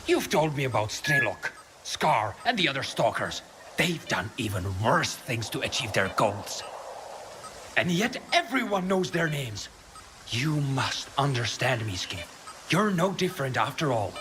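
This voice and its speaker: An actor playing an unhinged, bitter and grandiose scientist in a video game, ranting theatrically over a noisy background with music. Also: male voice, mid-range voice, very animated, a little slow. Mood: pleading, intense. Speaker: scientist character. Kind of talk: video game cutscene acting.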